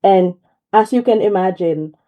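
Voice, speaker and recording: A woman's voice: mid-range voice, measured pace. A young woman with a Filipino accent, a radio interview guest calling in, who talks politely and mixes Tagalog and English with frequent fillers. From Philippines. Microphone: phone call. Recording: tinny phone line.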